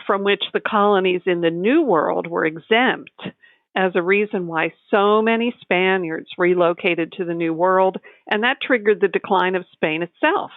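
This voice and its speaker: A woman from the United States, a tax advisor, explaining in a measured, articulate way as a podcast guest. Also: mid-range voice, no echo. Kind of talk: podcast interview. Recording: tinny phone-like line.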